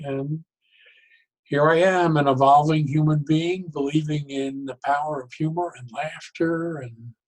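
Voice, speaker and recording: Older man, halting and reflective. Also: on the high side, rough recording. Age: 60s or older. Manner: halting, reflective, often pausing mid-sentence. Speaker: podcast guest, psychologist and humor speaker. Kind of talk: casual interview chat.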